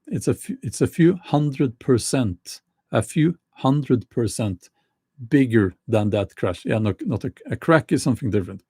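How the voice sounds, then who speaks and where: A middle-aged man with a Norwegian accent talking casually to camera, slow and halting with many restarts. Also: medium pitch, a little mellow, breathy edge, no room sound, studio quality. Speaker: YouTuber.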